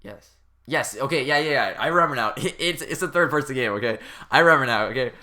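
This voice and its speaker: Exasperated man ranting, bright forceful voice. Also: medium pitch, lively intonation, fast. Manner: exasperated, rambling, informal, profane. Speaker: video game reviewer. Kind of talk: casual comedic rant review.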